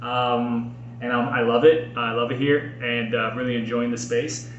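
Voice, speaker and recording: An American man reads his own personal writing aloud in an earnest, expressive way with deliberate phrasing. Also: mid-range voice, muffled recording. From Minnesota, United States. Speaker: podcast host and author reading own book. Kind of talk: audiobook-style personal reading. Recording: roomy echo with faint background noise.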